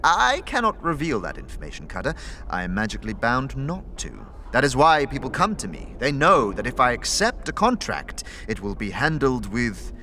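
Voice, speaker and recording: Casting: male actor as a bright-voiced, nervous mage for hire, secretive and courteous, wildly animated pitch, audio drama. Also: exaggerated voice. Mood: earnest, uneasy. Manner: hesitant, halting, effusive. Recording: faint background noise.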